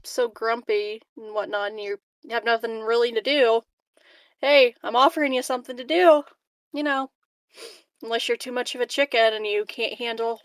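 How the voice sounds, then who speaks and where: A woman with a fairly high voice plays a tabletop roleplaying game. She improvises her lines casually and haltingly, with a playful, teasing manner. The recording is dry and close. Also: steady tone, no room sound. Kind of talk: casual in-character improvised dialogue and table chat.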